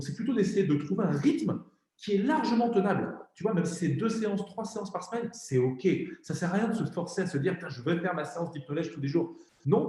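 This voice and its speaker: A man with a slightly raised, mellow voice explains things in an easygoing, informal way. The recording is very echoey, as if captured in a bare, hollow room. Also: a little high. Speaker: co-founder of a learning platform, guest. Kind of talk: explanatory interview talk.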